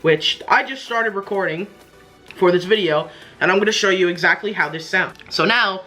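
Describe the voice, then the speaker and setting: Young dude doing a casual app walkthrough, bright voice, repeats himself a bit. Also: male voice, high voice, normal speed, faint music behind, rough recording. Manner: informal, repetitive explaining. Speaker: tutorial host.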